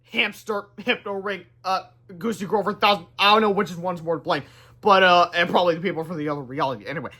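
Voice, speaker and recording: Bright, high-pitched man reading a children's book. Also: breathy edge. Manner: animated, exclaims sound effects. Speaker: read-aloud presenter. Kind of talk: expressive storybook read-aloud with ad-libbed asides.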